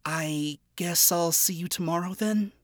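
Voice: medium pitch, measured pace, dry recording, studio quality, pitched above the performer's own voice, natural voice. A reader voicing an earnest, upbeat young demon princess who speaks in an eager, sincere way. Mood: anxious.

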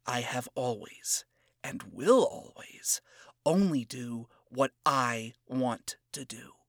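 A man voicing a cold, self-absorbed seductive woman who speaks in a hushed, condescending way. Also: medium pitch, lively intonation, dry recording, studio quality. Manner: hushed, breathy, condescending, slow. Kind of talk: reading fan fiction aloud.

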